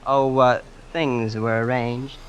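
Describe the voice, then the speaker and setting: An actor playing a breezy, immensely rich young heir who is casually ruthless, boasting in a nonchalant, understated way in an old-time radio drama. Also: male voice, mid-range voice, level tone, takes it slowly, lo-fi sound, natural voice. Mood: smugly casual. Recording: old radio broadcast with clear noise.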